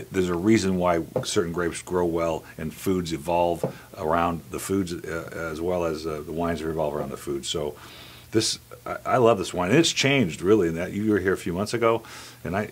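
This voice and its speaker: Man, 50s, very low voice, wine show host, chatty, restarts sentences, lapel mic, faint background hiss. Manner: chatty, talks to viewers as folks, trails off and restarts. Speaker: wine club owner and host. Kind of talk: casual studio interview. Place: wine shop studio set, indoors.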